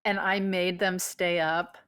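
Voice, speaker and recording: Podcast co-host, woman, lowish voice, chatty and rambling, lots of ums. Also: little pitch movement, takes it slowly, a bit bright, clean tone. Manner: rambling storytelling with many fillers. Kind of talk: casual chat.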